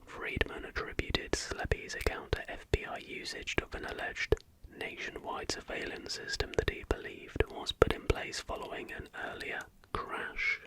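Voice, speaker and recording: A man's voice: normal speed, few pauses, poor audio. Whispering man, ASMR narrator. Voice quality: unvoiced, breathy whisper. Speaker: host of an ASMR channel.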